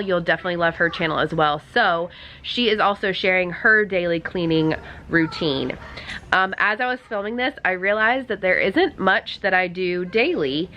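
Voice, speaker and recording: Stuffy-nosed woman vlogger, bright voice. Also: lowish voice, a little hiss or hum. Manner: chatty, upbeat, with fillers. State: stuffed-up head cold. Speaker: cleaning vlog host. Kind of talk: casual vlog narration.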